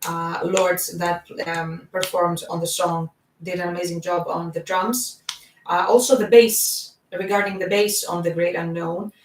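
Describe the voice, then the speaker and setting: A woman's voice: lowish voice, flat delivery, unhurried. A woman with a foreign accent chatting casually on a livestream, rambling with lots of fillers. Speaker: band singer and co-host. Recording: roomy sound from a distant mic.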